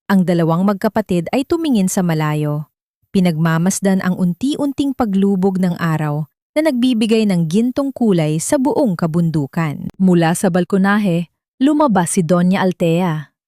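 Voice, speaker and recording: A woman reading a scripted dramatic story in a measured, sentimental, literary manner. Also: lowish voice, expressive, warm tone, no room sound, clean studio sound. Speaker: narrator of audio love stories.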